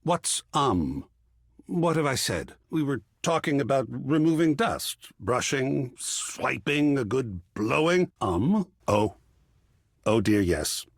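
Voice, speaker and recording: A man's voice: mid-range voice, very animated, slow pace, dry recording, studio quality, heavily acted. A man voicing an effusive, refined art curator in a video game, theatrical and fussy, savoring florid phrases. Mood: flustered. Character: effusive, refined male art curator, an artwork come alive. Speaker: gallery curator character.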